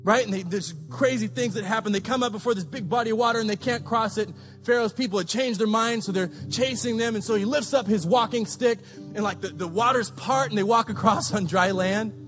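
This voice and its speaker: A man pastor giving a sermon, preaching with passion, repeating phrases and rising to shouts. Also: high voice, fairly quick, soft background music. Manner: impassioned, repetitive preaching with shouted peaks.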